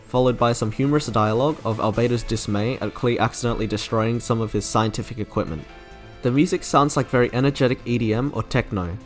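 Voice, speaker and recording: A man delivering scripted, enthusiastic review narration in an articulate, wordy way, like a video essayist. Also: lowish voice. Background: music playing under the narration.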